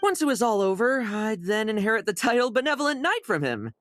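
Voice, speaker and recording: A woman playing a sly, theatrical young female knight, a smooth-talking schemer in a video game. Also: a little high, sing-song, a little fast, soft background music. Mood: grandiose, sly. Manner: theatrical, coaxing.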